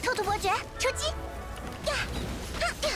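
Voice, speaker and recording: A woman's voice: very high-pitched, expressive, moderate pace. A woman playing a spirited young girl fighter in an anime game, calling out short battle lines. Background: loud game music and combat effects.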